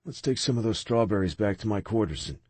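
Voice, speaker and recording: A man voicing a brooding male vampire with a low, rasping, intimate delivery in an audiobook. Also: animated, quick pace, darkish tone, no echo. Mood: husky. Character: brooding male vampire warrior, grave and rough.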